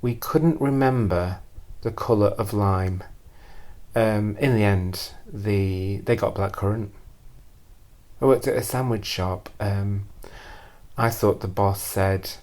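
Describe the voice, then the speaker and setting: A man telling a first-person confessional story in a deadpan, deliberate and hesitant way, repeating phrases for emphasis. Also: on the low side. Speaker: storyteller performing a personal monologue.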